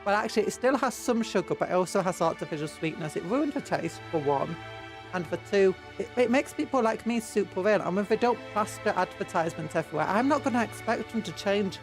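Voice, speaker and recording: A woman's voice: medium pitch, expressive, brisk, music in the background. A British woman streamer with a cold, blocked nose and sore throat, rambling in casual chat with her viewers. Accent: British English. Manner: rambling, chatty, reads and answers chat.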